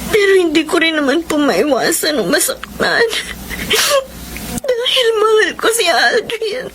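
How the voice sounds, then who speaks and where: A woman's voice: very high voice, big pitch swings, measured pace, fairly bright tone, a little hiss or hum, full character voice. An actress playing a proud, hurt young woman in love, emotional and plaintive, in a Filipino radio romance drama. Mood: heartbroken. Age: young adult. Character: wounded, proud young sweetheart, resolved to rise. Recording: music behind the voice. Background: music.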